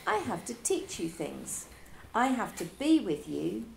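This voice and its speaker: A woman voicing a calm, eerily devoted schoolboy in a storybook, speaking in a soft, steady, insistent way. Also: mid-range voice, measured pace, gravelly, a little hiss or hum, full character voice, poor audio. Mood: earnest.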